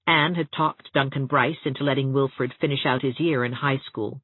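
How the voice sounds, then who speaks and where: A woman's voice: extremely low pitch, fairly even intonation, normal speed, breathy edge, no echo, phone-quality sound. A woman narrating an audiobook story expressively from a script.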